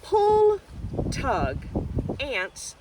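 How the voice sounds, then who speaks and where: Woman teacher, children's read-aloud, very lively pitch swings, unhurried pace, outdoor background noise. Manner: expressive, addresses children directly with questions. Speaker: teacher reading a picture book to children. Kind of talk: read-aloud and simple explanations for young children. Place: outdoors in nature.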